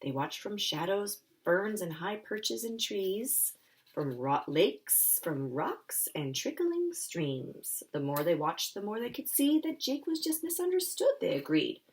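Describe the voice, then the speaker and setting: A woman, an author, reading her children's picture book aloud and talking it through for a young audience, with expressive delivery. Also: medium pitch, unhurried. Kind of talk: live children's story time reading and friendly explanation.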